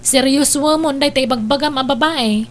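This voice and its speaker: A woman's voice: high-pitched, normal speed, lightly acted. A woman playing a frank, inquisitive and protective older sister in an Ilocano drama, with an Ilocano accent. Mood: frank, teasing. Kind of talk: Ilocano radio-style family drama acting. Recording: noticeable hum behind voice.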